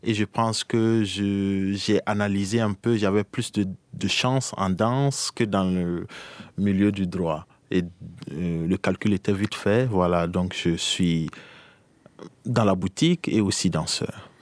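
A man's voice: sits low, unhurried, no echo. A young man from Burkina Faso being interviewed, speaking in a hesitant way with many restarts. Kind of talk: casual conversation.

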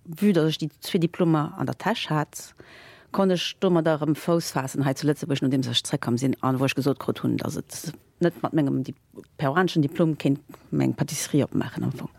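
A woman, a guest in a casual interview, telling stories with lots of fillers and lively laughter. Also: sits low, brisk, gravelly, no echo. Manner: animated, laughing while telling anecdotes, many fillers. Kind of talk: casual storytelling.

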